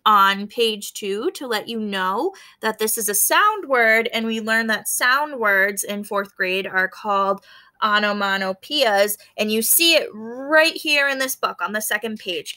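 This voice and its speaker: A woman with a bright voice at a middling pitch, speaking as an elementary school teacher. She reads a storybook aloud and steps out of the text to explain it. Her manner is instructive, often inviting young listeners to notice details and wonder about them. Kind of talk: read-aloud lesson for fourth graders, explaining as she goes.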